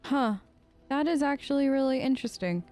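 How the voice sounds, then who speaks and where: Casting: female let's player, fairly high voice, casual in-character chat with music underneath. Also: expressive, brisk, a little mellow. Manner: conversational, informal, reacting in character. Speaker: let's play streamer. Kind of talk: casual improvised role-play in a game playthrough.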